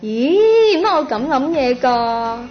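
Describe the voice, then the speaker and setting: A woman with a Hong Kong Cantonese accent playing a chatty young female university student in a radio drama, casual and expressive. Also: high-pitched, slow pace, heavily acted. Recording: dull lo-fi audio with music.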